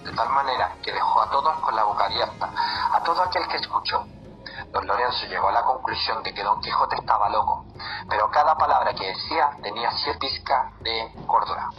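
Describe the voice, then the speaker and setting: A man narrates a story summary in a very bright, forceful voice at a middling pitch. The recording is amateur and slightly tinny, with faint music behind him. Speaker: narrator of a student book summary. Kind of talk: scripted narration.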